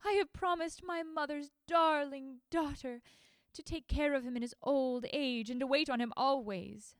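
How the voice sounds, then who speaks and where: A woman's voice: high voice, normal speed, no echo, studio quality, pitched above the performer's own voice. A woman voicing a defeated young man lamenting his loss to his mother. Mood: mournful. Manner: mournful, lamenting.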